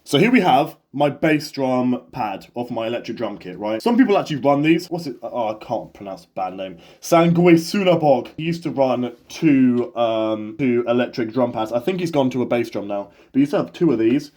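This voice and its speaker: A man's voice: medium pitch, lively intonation, moderate pace, few pauses, a little mellow. Profane young man rambling about drums. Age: 20s. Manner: profane, loose and jokey. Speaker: drummer and video host. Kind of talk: rambling casual talk to camera.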